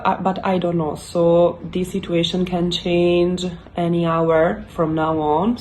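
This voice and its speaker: A young woman from Italy with an Italian accent hosts her channel and explains the news in earnest, halting English full of fillers. English is not her first language. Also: sits low, a little mellow, a little hiss or hum. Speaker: YouTube channel host. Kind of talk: casual explainer talk to camera.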